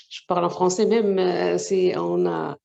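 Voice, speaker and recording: A woman journalist whose first language is Arabic, speaking haltingly with many hesitations in a webinar discussion over a video call. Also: fairly low pitch, gravelly, mellow, lo-fi sound. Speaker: journalist, section editor.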